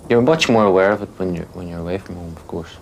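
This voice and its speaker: A man's voice: deep voice, quick pace, creaky, a little hiss or hum, rough recording. A young man, speaking thoughtfully in a documentary interview. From Northern Ireland. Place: small bedroom.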